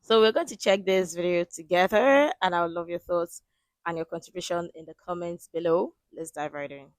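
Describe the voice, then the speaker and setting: A woman hosting a reaction video, commenting casually with animated exclamations. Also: fairly low pitch, brisk, dry recording.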